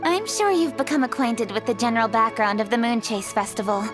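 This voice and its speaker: An actress voices a dutiful young woman of office in a fantasy game. Her voice sits on the high side and she speaks in earnest, well-formed sentences. Music is clearly heard underneath. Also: animated, fairly quick, lightly acted. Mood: businesslike. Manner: articulate, earnest, businesslike. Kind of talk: video game character acting.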